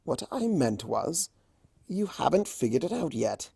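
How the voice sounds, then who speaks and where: A performer voicing a male chaos creature, a smug and theatrical trickster who teases in drawn-out phrases, for a story reading. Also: mid-range voice, sing-song, creaky, mellow, breathy edge, no echo, heavily acted. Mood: teasing, knowing. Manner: drawn-out, theatrical, teasing delivery.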